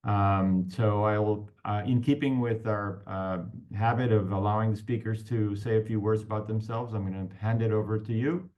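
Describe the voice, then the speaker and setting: Male moderator, lowish voice, halting intro for a guest; muffled call sound. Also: steady tone. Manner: hesitant, many fillers. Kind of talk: formal introduction.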